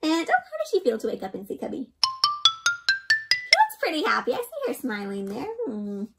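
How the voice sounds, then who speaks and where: A young woman reading a storybook aloud to children in an expressive, interactive way, often putting questions to the listener. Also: a little high, normal speed, gravelly, loud music behind the voice. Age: young adult. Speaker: children's read-aloud host. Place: indoor room.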